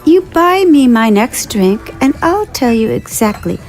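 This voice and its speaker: A bold, teasing alien woman played by an actress speaks in a sultry, mellow voice with a dark warmth. Her voice sits fairly high, and she needles playfully. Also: big pitch swings, measured pace, faint music behind. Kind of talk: audio drama acting.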